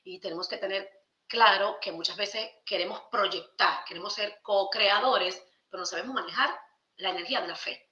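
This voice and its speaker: A woman's voice: mid-range voice, moderate pace, pushed and bright. A woman with a Dominican accent talking conversationally and emphatically as a podcast guest, explaining spiritual ideas. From Dominican Republic. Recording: roomy echo, slightly dull sound.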